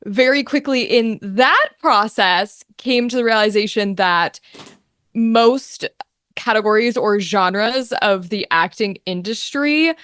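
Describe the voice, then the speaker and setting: A young woman chatting casually as an interview guest, telling her story in an animated, informal way. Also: a little high, smooth, no echo, studio quality. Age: young adult. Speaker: guest, voice actor.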